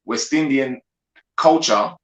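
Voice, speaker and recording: A man with a mid-range voice speaks as a guest on a livestream panel. He builds his point step by step and often pauses to ask "right?" His voice echoes around the room over a video call. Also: medium pitch. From grew up in the United Kingdom. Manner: measured, builds his argument with frequent "right?". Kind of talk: discussion monologue.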